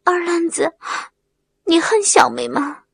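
A woman's voice: extremely high pitch, slow pace, a bit bright, no echo, voice pushed up, heavily acted. A woman narrator voicing a clingy, emotional young wife in an audiobook, pleading and crying out. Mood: shy.

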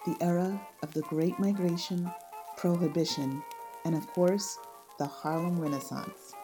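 Woman, somewhat low pitch, creator's scripted introduction, clear music underneath. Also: poor audio. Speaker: series creator introducing the show. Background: music behind the voice.

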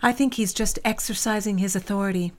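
A woman's voice: medium pitch, steady tone, brisk, darkish tone, natural voice. A woman playing a worldly, motherly office confidante. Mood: confiding. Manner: soothing, confiding and gossipy. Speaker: office coworker and former secretary. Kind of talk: audio drama acting.

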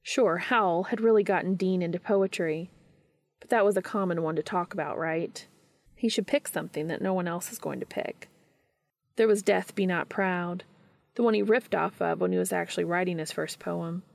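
Female narrator for fiction reading, lower register, slight creak, dry close sound. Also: moderate pace, no echo. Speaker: fan fiction reader. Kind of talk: scripted story narration.

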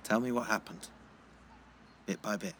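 A young man playing a gentle, patient teenage schoolboy in a naturalistic audio drama, with an East Midlands English accent and a hesitant, placating manner. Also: lowish voice, normal speed, a little hiss or hum, close to an everyday voice. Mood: calming. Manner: hesitant, placating, repeats words.

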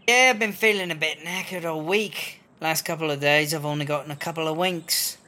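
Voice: medium pitch, fairly quick, sharp tone, lightly acted. A performer playing a weary, wry British woman inmate in a comedy audio drama, speaking colloquially and a little hesitantly. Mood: uneasy, exasperated. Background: music.

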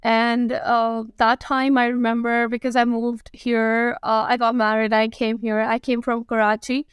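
A woman's voice: a little high, flat delivery, unhurried, smooth, no echo, clean studio sound. A woman in her thirties from Pakistan with a Pakistani accent, answering interview questions casually with many fillers and restarts. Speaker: guest, food blogger.

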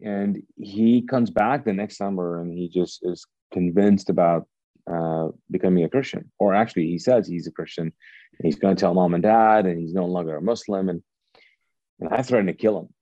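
A middle-aged man from Kuwait whose first language is Urdu, a pastor telling his story in a casual interview, lively and often restarting sentences. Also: sits low, moderate pace, no echo, lo-fi sound. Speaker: pastor, guest.

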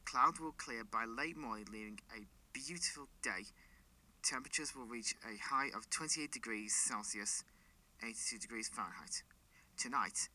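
A man's voice: mid-range voice, bright, forceful tone, poor audio. A man playing a radio weatherman who reads the forecast plainly. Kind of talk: weather report.

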